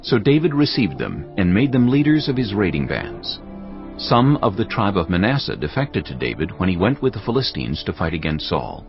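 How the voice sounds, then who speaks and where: A man narrating scripture from a script in a measured, solemn manner. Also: low voice, highs cut off. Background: background music.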